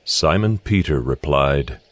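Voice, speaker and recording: Low-pitched, animated male narrator. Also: slow, no room sound. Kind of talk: scripted scripture narration.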